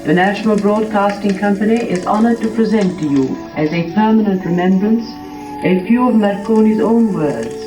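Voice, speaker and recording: A woman announcer giving solemn, declamatory 1930s-style scripted narration. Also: mid-range voice. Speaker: radio announcer. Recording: crackly 1930s record, echoey distant playback.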